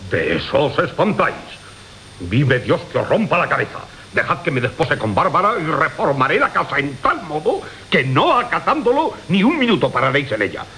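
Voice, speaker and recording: Blustering, sharp-voiced hot-tempered suitor. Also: male voice, a little high, sing-song, a little fast, exaggerated voice. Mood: angry, blustering. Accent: Castilian Spanish. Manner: bullying, exclamatory. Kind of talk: classical Spanish radio comedy acting. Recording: hissy 1980 radio broadcast recording.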